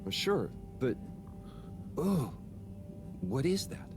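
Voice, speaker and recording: A man's voice: medium pitch, sing-song, extremely slow, darkish tone. An actor playing a nervous, apologetic young man who rambles earnestly, over game music. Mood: curious. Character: timid, earnest young man, anxious helper. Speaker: lab helper.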